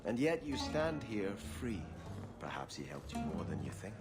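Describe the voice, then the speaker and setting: An actor playing a gentle, earnest, devout young nobleman in a video game. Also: lowish voice, sing-song, moderate pace, over loud music.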